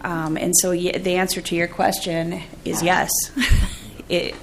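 A woman who provides support services, answering a committee's questions in an even, explanatory way. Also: lowish voice, a little slow, slight background noise. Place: legislative hearing room.

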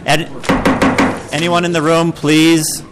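A soft-spoken man chairing a legislative committee hearing, calling the room to order and welcoming speakers. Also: fairly high pitch, little pitch movement, slow pace, heavy background noise. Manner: soft-spoken, says so himself.

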